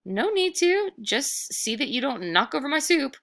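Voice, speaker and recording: A woman's voice: sits high, sing-song, moderate pace, creaky, fairly bright tone, pitched above the performer's own voice, heavily acted. A plucky young boy from a children's storybook, acted by a woman reader. He speaks in a raised voice with folksy, polite plainness and will not be rattled. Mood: unfazed, polite. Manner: plain-spoken, folksy, unfazed.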